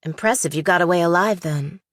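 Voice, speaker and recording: A woman's voice: fairly low pitch, fairly quick, fairly bright tone, no echo, studio quality, natural voice. A woman voicing a skeptical young law student in an audiobook, clipped, probing and lawyerly. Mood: dry, skeptical. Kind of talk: audiobook character dialogue.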